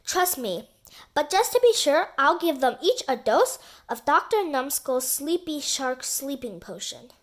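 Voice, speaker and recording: Woman voicing a bossy high-pitched storybook girl. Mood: matter-of-fact. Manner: brisk, firm, expressive. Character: bossy, brisk, practical girl in a children's storybook.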